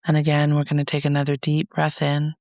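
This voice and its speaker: A man leading a guided meditation as a reflective monologue, speaking in a soothing, measured, gentle way with pauses. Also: on the high side, completely flat delivery, dry recording.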